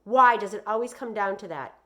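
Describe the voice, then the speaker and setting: A storybook mom, put on by a woman reader, fed up and teasing. She speaks bluntly at an ordinary female pitch and leans hard on her words. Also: brisk, pushed and bright, natural voice. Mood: irritated. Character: exasperated, blunt, teasing mother of a boy. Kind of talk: children's novel read aloud.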